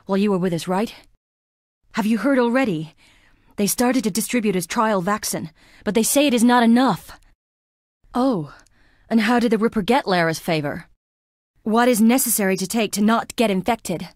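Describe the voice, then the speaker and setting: Woman acting a frail young woman in a horror game, mid-pitched, melancholy, languid, theatrical, dry recording. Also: normal speed, pauses often, no room sound, close to an everyday voice. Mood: anxious, hopeful. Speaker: video game character. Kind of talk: dramatic game voice lines.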